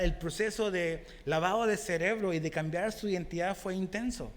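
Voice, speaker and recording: Chilean pastor guy, bit mexican sounding, chatty sermon w lots of questions, echoing church. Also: high voice. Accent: Chilean Spanish with Mexican touches. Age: young adult. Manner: conversational, asks the congregation questions. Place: church hall.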